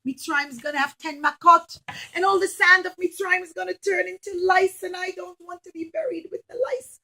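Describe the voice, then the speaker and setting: Woman as high-voiced, pleading old father. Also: brisk, sharp tone, heavily acted. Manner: earnest, emphatic pleading. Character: aged dying father, pleading anxiously with his son.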